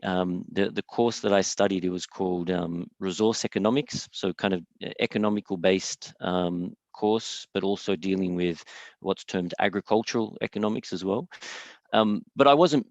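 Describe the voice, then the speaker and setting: Hesitant Australian man, very low voice. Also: no room sound. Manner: hesitant, many fillers and repeated words. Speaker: guest speaker. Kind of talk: online lecture. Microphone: video call. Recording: dry, slightly dull video call audio.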